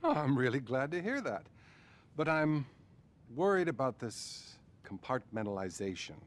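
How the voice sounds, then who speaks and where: An actor playing a patronizing, money-minded male therapist in a game cutscene, measured and condescending with feigned concern. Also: medium pitch, sing-song, lightly acted. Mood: probing, feigned concern. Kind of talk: video game cutscene acting.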